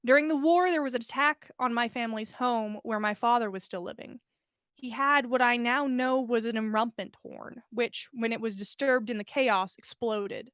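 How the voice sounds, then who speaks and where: A woman narrating a story aloud in an expressive storytelling style, as a podcast host reading fiction. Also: a little high, moderate pace, no echo, studio quality.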